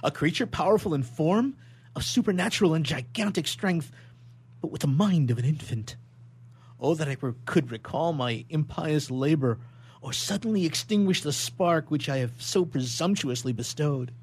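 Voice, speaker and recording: A man performs a horror-stricken young male scientist from a nineteenth-century melodrama, staged for radio. His voice is slightly high and husky. He declaims in an overwrought, halting manner, full of anguish. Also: darkish tone. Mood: fearful, remorseful.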